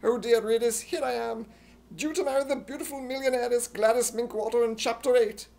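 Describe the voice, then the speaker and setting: A man voicing an excitable, gullible, indignant comic hero in a loud, exclamatory, put-on British accent, in 1950s radio comedy style. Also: very high-pitched, fairly quick, gravelly. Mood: indignant, panicked. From United States.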